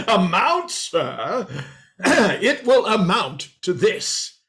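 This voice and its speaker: A man's voice: sits high, sing-song, extremely slow, a bit bright, exaggerated voice. A man playing a hearty, pompous, exclamatory country squire in a radio play reading. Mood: hearty, excited. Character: blustering, hearty country squire, grand and excitable.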